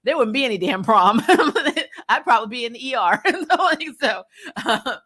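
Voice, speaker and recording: Informal woman reviewer with bright voice. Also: on the high side, lively intonation, moderate pace. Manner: informal, slangy, chuckles often. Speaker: host of a TV episode review show. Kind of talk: casual recap and commentary.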